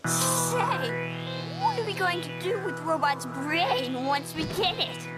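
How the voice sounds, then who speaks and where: A simple-minded cartoon sidekick brother, whiny and obedient. The voice is extremely high and animated, with slow delivery and loud music behind it. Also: female voice, full character voice, rough recording. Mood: dimly curious. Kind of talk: exaggerated cartoon fandub acting.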